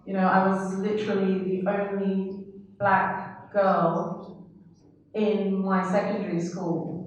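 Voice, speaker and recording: A woman's voice: mid-range voice, dull audio. A woman from Trinidad with a Trinidadian accent, speaking as a panellist in a reflective, conversational way with fillers. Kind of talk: panel discussion. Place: university hall. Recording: echoey hall, speaker far from mic.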